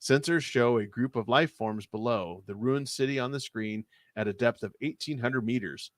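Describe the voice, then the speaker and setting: Calm logical science officer, dude reading him, even and dry. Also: male voice, mid-range voice, moderate pace, no room sound, lightly acted.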